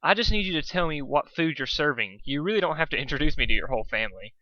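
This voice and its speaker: Young male city pony, cartoon fanfic, man performing, formal and earnest, quick to fluster, forceful tone. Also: fairly high pitch, lively intonation, no echo. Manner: formal, earnest, prone to protest. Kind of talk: amateur storybook character reading. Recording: dull, lo-fi home recording.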